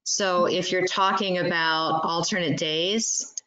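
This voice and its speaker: A woman asking questions in an online meeting with halting phrasing. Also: lowish voice, fairly even intonation, pushed and bright. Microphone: video call. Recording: echoey room on video call.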